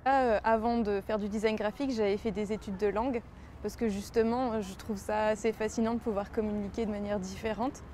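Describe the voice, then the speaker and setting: A woman's voice: mid-range voice, fairly even intonation, brisk, mellow, slight background noise. A woman aged twenty-nine, speaking casually in an interview as a design student. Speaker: design student on a work-study program.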